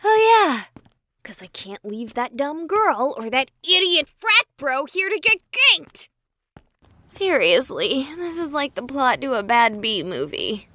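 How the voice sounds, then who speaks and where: A woman's voice: high voice, big pitch swings, slow, sharp tone, no room sound, exaggerated voice. An actress playing a sarcastic, chatty young woman streamer who talks to her viewers. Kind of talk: voiced game dialogue.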